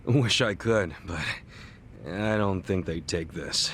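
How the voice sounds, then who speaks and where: A man's voice: low voice, slow, a bit bright, slight background noise. A man playing an earnest, hot-headed middle-aged yakuza hero with blunt, informal speech in a video game cutscene. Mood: casual.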